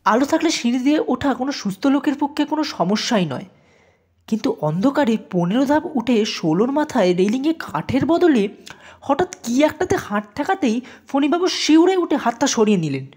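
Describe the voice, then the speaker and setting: High, animated woman storyteller. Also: normal speed, husky. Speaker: story narrator. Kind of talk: scripted audio story narration.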